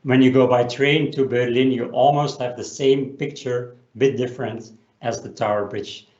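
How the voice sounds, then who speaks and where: A man gives an explanatory business webinar presentation, often pausing on an uh. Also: medium pitch, fairly even intonation, a little mellow. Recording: roomy echo, dull lo-fi sound.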